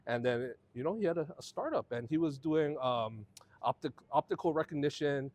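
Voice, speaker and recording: A man's voice: a little high, moderate pace, no echo. A man, a podcast guest telling his life story in a loose, rambling way with plenty of fillers. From Hawaii. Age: 40s. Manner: relaxed, rambling storytelling with many fillers and restarts. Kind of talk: casual conversational interview.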